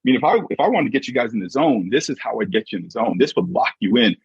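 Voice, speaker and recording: Very husky man, animated coaching talk. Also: mid-range voice, quick pace, no echo. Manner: emphatic, peppers points with rhetorical check-in questions. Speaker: podcast guest, sports mindset coach and author. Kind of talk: conversational motivational coaching talk. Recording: dull, muffled lo-fi audio.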